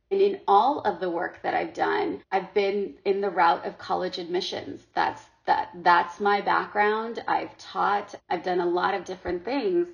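A woman's voice: on the low side, creaky. A middle-aged woman from Sri Lanka, talking earnestly as a podcast guest and often repeating words as she goes. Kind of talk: casual interview talk. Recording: dull lo-fi audio.

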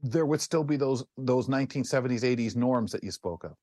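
Male Canadian radio host, mature, mid-pitched, discursive and conversational, dry sound. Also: a little fast, mellow, dry recording. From Ontario, Canada. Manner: rambling, musing, acts out snippets of imagined dialogue. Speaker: talk show host. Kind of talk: casual radio panel discussion.

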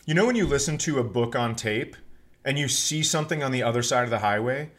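A middle-aged American man chatting casually as a podcast co-host, telling stories in an animated, playfully self-important comedic way. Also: medium pitch, fast.

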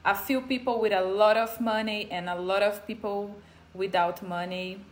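A woman's voice: mid-range voice, fairly even intonation, moderate pace. A woman in her thirties from Brazil, with a Brazilian accent, telling her personal story in a casual way as an interviewee. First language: Portuguese. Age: 30s. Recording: music playing behind the voice. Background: background music.

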